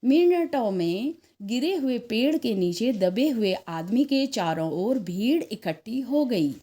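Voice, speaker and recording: A woman narrating a scripted story expressively, in a measured way, as a storyteller. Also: fairly high pitch, animated, mellow. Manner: measured, acts out dialogue.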